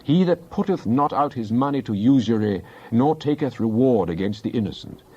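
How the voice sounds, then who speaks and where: A devout psalmist played by a man prays in a solemn, declamatory style at an ordinary male pitch. The delivery is earnest and deliberate, as in dramatized scripture. The recording is a little dull and lo-fi. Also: expressive, dark tone, slightly rough. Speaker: scripture reader.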